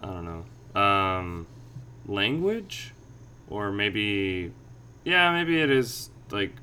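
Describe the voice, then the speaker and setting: A man's voice: fairly low pitch, sing-song, drawn-out words, sharp tone, slight background noise. A man chatting casually on a podcast, reflective and meandering as he thinks aloud.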